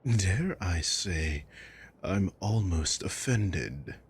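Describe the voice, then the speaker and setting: A man playing a coolly mocking, possessive villain in an ASMR roleplay, with a husky, breathy voice and a deliberate, menacing drawl. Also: low voice, expressive, a little hiss or hum, full character voice. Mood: mock disappointment. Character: sadistic male villain, coolly mocking, possessive, taunting his captive. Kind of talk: ASMR roleplay audio drama.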